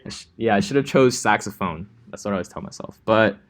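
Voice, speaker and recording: A young man from Los Angeles teaching a coding class in a relaxed, chatty way, with many ums and likes. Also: fairly low pitch, fast. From Los Angeles, California. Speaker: coding instructor. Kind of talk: casual classroom lecture.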